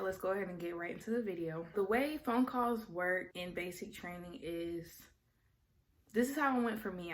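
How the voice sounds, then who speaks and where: A young adult woman vlogging casually, explaining things in a chatty way and correcting herself as she goes. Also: on the low side. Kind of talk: casual talking-head vlog.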